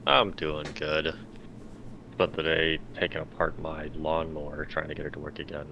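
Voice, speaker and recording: A man's voice: rumbling low, little pitch movement, normal speed, sharp tone, muffled recording, slight background noise. A man chatting casually as a player on a tabletop game show. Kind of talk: casual chat.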